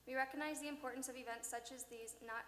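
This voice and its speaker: A woman's voice: high-pitched, steady tone, fast talker, a bit bright. A woman presenting a prepared report to a meeting on behalf of her organization. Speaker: presenter for a visitor welcome center. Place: city hall meeting room. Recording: echoey hall with clear noise.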